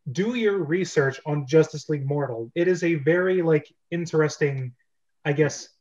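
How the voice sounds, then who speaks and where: A man's voice: a little high, moderate pace, a little mellow. A man hosting a casual livestreamed group chat about scripts, talking in an informal, rambling way. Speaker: host of an online script reading club. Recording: dull, lo-fi online stream audio.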